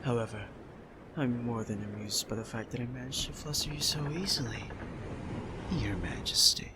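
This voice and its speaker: A man's voice: mid-range voice, moderate pace, very noisy, natural voice. A man playing a suave, self-assured pirate captain in an ASMR roleplay, courteous and playfully smug. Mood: teasing.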